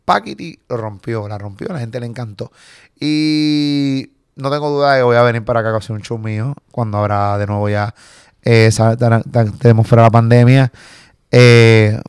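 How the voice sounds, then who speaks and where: Animated Puerto Rican man in his forties. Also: medium pitch, smooth, no room sound, studio quality. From Puerto Rico. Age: 40s. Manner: animated, swearing, quick storytelling. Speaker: comedian guest. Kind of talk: casual interview chat.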